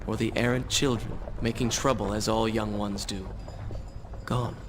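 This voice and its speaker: An actor playing a troubled, earnest young prince in a dramatic video game. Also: male voice, fairly low pitch, fairly even intonation, a little slow, background noise, close to an everyday voice. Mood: brooding, uneasy. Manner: earnest, brooding. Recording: loud music behind the voice.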